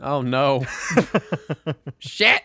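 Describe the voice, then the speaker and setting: A man's voice: medium pitch, lively intonation, very slow, bright, forceful tone, dry recording. A man hosting a casual podcast, a bit congested from a cold, talking in a rambling way with lots of ums and restarts. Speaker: podcast host.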